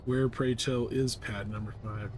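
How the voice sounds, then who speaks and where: A man's voice: medium pitch, steady tone, measured pace, darkish tone. Offhand man streaming, faint noise behind. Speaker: live streamer playing a space combat game. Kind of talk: casual gameplay commentary.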